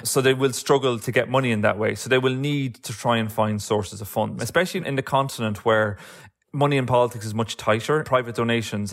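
An Irish man, an author being interviewed, talking through political analysis in a discursive way with many filler phrases. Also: on the low side. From Ireland. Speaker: author and guest.

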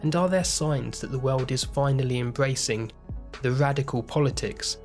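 Male host reading a measured, earnest podcast opening over faint music. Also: mid-range voice, a little mellow. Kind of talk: scripted podcast introduction.

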